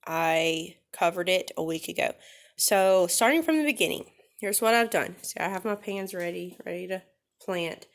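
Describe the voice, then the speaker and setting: A woman's voice: lowish voice, lively intonation, moderate pace. A woman with a nasal voice from a cold, chatting casually through a gardening how-to with many ums and self-corrections. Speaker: vlogger.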